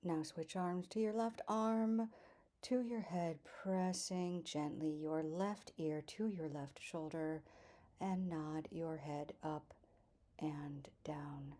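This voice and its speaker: A woman leads a yoga class in a low, mellow voice. She gives calm cues at an unhurried, slow pace, letting the ends of phrases fall soft and breathy. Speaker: yoga teacher.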